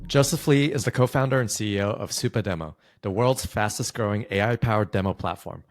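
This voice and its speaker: A man with a somewhat low voice hosts a podcast. He reads a scripted introduction and then asks loose questions with fillers, with a faint bed of music behind him. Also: a little fast. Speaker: podcast host.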